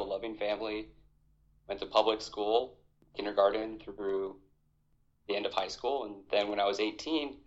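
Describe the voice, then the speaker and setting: A man in his thirties from Hawaii, an army veteran talking as a podcast guest in a measured, reflective way. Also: on the low side, highs cut off. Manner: reflective, measured, with fillers and restarts. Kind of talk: conversational interview.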